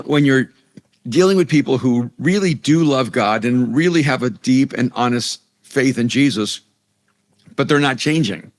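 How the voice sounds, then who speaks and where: A middle-aged man from El Salvador, a Spanish native speaker, talking earnestly as an interview guest and often restarting his sentences. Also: mid-range voice. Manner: earnest, many restarts and repeated words. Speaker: author and pastor guest. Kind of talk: conversational interview.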